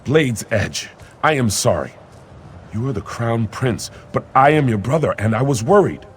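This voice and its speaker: An actor playing an eager, loyal young warrior prince in a video game, speaking in formal, earnest phrases. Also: male voice, mid-range voice, animated, normal speed, a little hiss or hum, natural voice. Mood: earnest, urging. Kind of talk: video game character acting.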